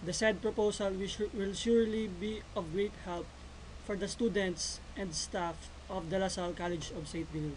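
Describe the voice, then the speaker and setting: A man's voice: high-pitched, fairly even intonation, moderate pace, poor audio. A nineteen-year-old man from the Philippines, a student reading his part of a class presentation formally. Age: teens. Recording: noticeable background noise.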